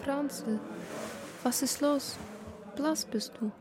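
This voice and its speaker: A soft, fairly high female voice a kind waitress in a radio drama. She talks slowly and intimately, with a gentle temper. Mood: tender concern.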